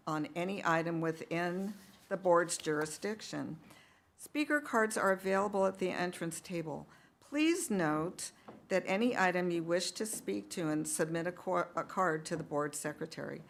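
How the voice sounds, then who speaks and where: A woman chairing a school board meeting, reading out public comment rules in an even, measured way. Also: lowish voice, gravelly. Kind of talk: formal meeting procedure.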